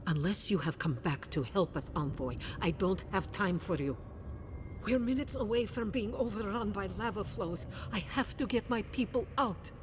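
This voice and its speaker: A woman acts an anxious, devout leader of a threatened fantasy keep in a video game. She speaks at a middling pitch in earnest, urgent, formal phrases, with clear ambient noise behind her. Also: expressive, normal speed, natural voice. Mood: stern, hurried. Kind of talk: dramatic video game cutscene acting.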